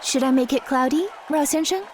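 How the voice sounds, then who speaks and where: A woman's voice: high-pitched, fairly quick, a little mellow, some chatter behind, a little hiss or hum, close to an everyday voice. A woman playing a polite, earnest young dancer in an audio drama. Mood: earnest, respectful.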